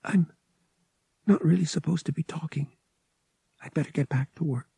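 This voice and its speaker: A man voicing a guarded, soft-spoken young woman, a devout pilgrim worker, polite and reticent, in an audiobook. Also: a little high, moderate pace, vocal fry, slightly rough, dry recording, voice pushed up, full character voice.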